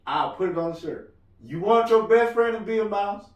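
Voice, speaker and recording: A married man co-hosting a casual podcast chat, loud-voiced, joking and rambling as he talks. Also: sits high, expressive, moderate pace, dull audio. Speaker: podcast co-host. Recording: roomy room, mic at a distance.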